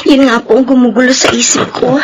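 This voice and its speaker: A woman's voice: high voice, little pitch movement, a little fast, slight background noise, natural voice, low-quality recording. A woman playing a devoted, troubled young mother-to-be in a radio drama, earnest and emotional, with a Filipino accent. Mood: troubled, tender.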